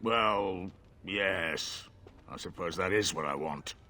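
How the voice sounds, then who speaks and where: An actor playing a gruff, cynical, self-serving tavern keeper in a fantasy video game, talking in a brusque, self-justifying way. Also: male voice, low voice, slow pace, bright, forceful tone, a little hiss or hum, exaggerated voice. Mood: defensive, grumpy.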